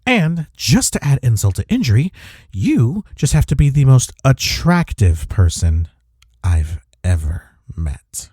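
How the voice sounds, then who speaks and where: A man playing a smitten office rival in an intimate romantic audio roleplay, with a husky, mellow voice and a halting, teasing manner. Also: medium pitch, big pitch swings, no room sound, clean studio sound. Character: flustered, smitten male office rival confessing attraction.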